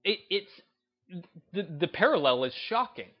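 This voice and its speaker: A man's voice: high voice, sing-song, moderate pace, lo-fi sound. A man giving casual opinion commentary as a video host, emphatic and repeating phrases for stress.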